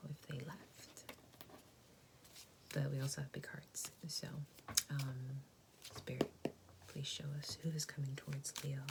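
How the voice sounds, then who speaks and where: A woman giving a tarot reading in a soft, gentle, reassuring whisper. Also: sits low, vocal fry, background noise. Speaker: tarot reader.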